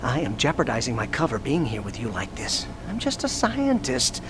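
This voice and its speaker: Anxious, lively scientist informant. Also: male voice, medium pitch, normal speed. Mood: nervous, urgent. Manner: anxious, urgent, emphatic. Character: nervous male scientist informant fearing exposure. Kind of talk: scripted game cutscene acting. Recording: noisy old game video audio.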